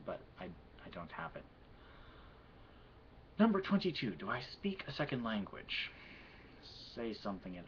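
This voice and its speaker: Soft-spoken Midwestern man, low voice. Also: a little hiss or hum. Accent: Midwestern American. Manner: measured and soft-spoken, with hesitant "um"s. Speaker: vlogger answering an accent challenge. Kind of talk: casual answers and slow poetry reading. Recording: quiet, dull lo-fi home recording.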